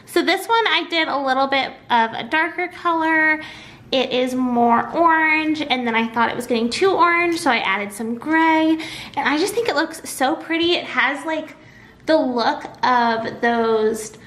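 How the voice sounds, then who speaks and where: A woman chatting casually as a craft vlogger in a nasal voice, with a bubbly, expressive, gushing manner. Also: high-pitched. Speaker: craft and home decor YouTuber. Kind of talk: casual vlog chat.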